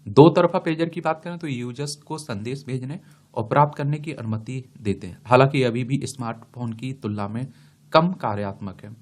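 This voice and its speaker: A man's voice: mid-range voice, darkish tone. A man giving an explanatory lecture, reading from study notes and addressing students as he repeats key terms. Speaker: teacher for exam students.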